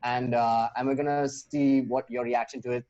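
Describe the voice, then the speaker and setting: A man's voice: medium pitch, level tone, normal speed, dark tone, muffled recording. A man with an Indian accent, chatting casually as a podcast host and interviewer.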